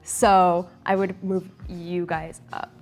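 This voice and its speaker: A woman in casual, candid panel chat, speaking in a halting way. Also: fairly low pitch, level tone. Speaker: panel participant.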